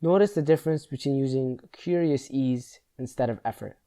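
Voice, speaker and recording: A man with a soft, mellow, relaxed voice guides a meditation. His pitch sits in an average male range. He gives gentle, measured instructions as a teacher would.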